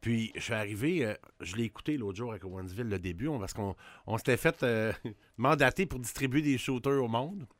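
A man in his fifties with a Québécois accent, reminiscing in a halting, reflective way during a casual podcast chat. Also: mid-range voice, expressive, no echo. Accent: Québécois French. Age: 50s. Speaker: musician guest.

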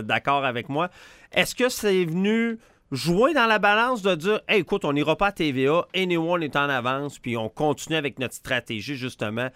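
A man's voice: medium pitch, sharp tone, no echo. A man with a Quebec French accent interviewing conversationally, putting challenging questions in colloquial language.

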